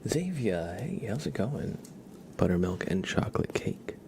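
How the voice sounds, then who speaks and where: A man hosting an ASMR livestream, chatting casually in a soft, breathy, often whispered voice with slow, halting, rambling delivery. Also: sits low, sing-song. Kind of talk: ASMR, casual chat with viewers. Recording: clear background noise.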